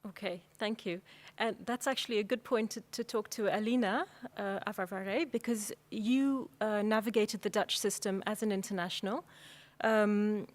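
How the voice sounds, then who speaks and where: A woman's voice: mid-range voice, normal speed, few pauses. A woman moderating a panel, steering it with short follow-up questions.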